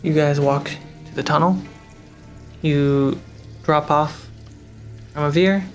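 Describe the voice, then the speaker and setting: A game master narrates a tabletop roleplaying scene in a medium-low voice. Music plays behind the narration. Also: fairly even intonation, slow.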